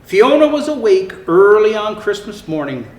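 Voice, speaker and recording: A man reading a children's picture book aloud in an expressive, theatrical way with drawn-out emphasis. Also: high-pitched. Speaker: storyteller dressed as Santa Claus. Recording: roomy echo, faint background noise.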